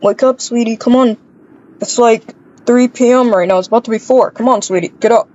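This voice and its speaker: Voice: medium pitch, brisk, a little mellow, lightly acted. Muffled, affectionate young dad in roleplay. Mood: coaxing. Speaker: roleplay player. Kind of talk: improvised game roleplay. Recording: dull lo-fi microphone.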